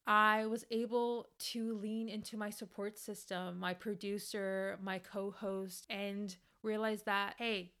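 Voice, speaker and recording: A woman's voice: medium pitch, sharp tone, clean tone. A young woman, a native Spanish speaker with an accent, hosting her podcast and talking in an earnest, encouraging way with many fillers. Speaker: podcast host. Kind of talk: casual solo podcast talk.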